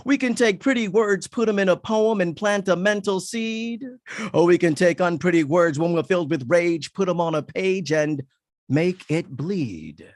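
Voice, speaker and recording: A man's voice: sits high, dry recording, clean studio sound. A man performing spoken word poetry as a poet, with an emphatic, rhythmic and dramatic delivery.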